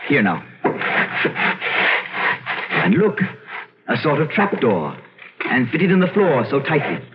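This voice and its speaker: Determined gentleman investigator, widely swinging intonation, excitable, stammering, old radio drama, dull broadcast sound with music. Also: mid-range voice, slow pace, heavy background noise. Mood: excited, urgent. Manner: theatrical, excitable, stammering repetitions. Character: Timothy, inquisitive, determined gentleman investigating a haunted house.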